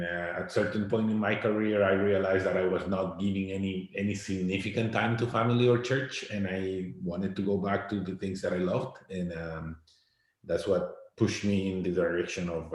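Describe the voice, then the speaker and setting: A man in his forties from Costa Rica, a guest answering interview questions in a halting way, often repeating his words. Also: deep voice. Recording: very echoey room.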